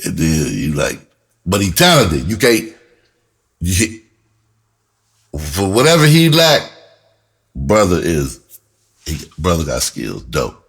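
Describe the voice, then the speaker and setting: A man telling a personal story in a casual, animated way, with slangy, profane talk and phrases repeated for emphasis. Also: deep voice, slow, creaky, long pauses, breathy edge. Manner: breaks into laughter often while talking. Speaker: screenwriter and performer telling his own story.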